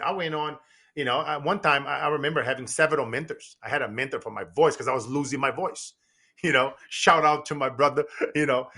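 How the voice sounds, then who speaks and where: Husky, bright-voiced middle-aged man talking emphatically. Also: mid-range voice. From Brazil. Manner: animated, repetitive restarts, emphatic. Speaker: guest, business coach and speaker. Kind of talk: casual podcast conversation.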